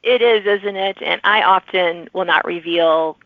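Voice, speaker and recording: A woman's voice: lowish voice, measured pace, dry recording. A woman hosting a casual author interview in a chatty, informal, agreeable manner. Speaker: podcast host. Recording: thin phone-like audio.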